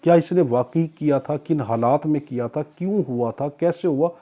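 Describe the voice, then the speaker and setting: Husky Pakistani man lecturing, muffled audio. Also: on the high side, dark tone. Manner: repeats and rephrases key points for students; reads English legal phrases out slowly. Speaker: law lecturer. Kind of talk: explanatory classroom lecture with examples.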